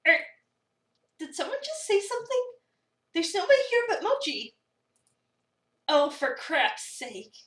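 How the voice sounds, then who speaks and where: A woman performs an overwhelmed young cafe worker telling a visual novel story with sarcastic, dramatic disbelief. The voice is high and swings widely, picked up from slightly away in a roomy space. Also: big pitch swings, a little slow, pauses often, fairly bright tone, heavily acted. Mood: exasperated. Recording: roomy, slightly distant mic.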